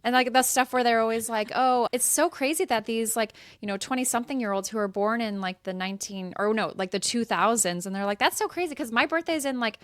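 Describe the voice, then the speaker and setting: A young woman in her twenties co-hosting a casual podcast, chatty and bubbly, full of fillers and quick to laugh. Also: on the high side, no room sound, studio quality. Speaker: podcast co-host. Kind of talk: casual chat.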